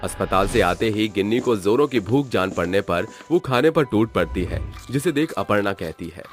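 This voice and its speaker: Male narrator, lowish voice, steady storytelling, faint music. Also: normal speed.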